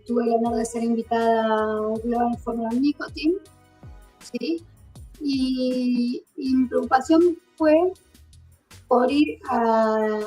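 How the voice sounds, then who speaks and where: A woman's voice: a little high, dark tone, low-quality recording. A woman from Córdoba with an Argentine accent, a guest in an online interview, talking with many fillers and repeated words.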